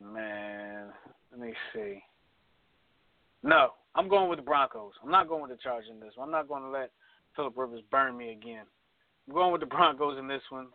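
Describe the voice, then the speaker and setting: A man hosting a casual sports radio show, picking games with animated restarts, his voice going hoarse. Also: mid-range voice, normal speed, no room sound. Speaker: sports talk show host. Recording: dry, tinny phone-like sound.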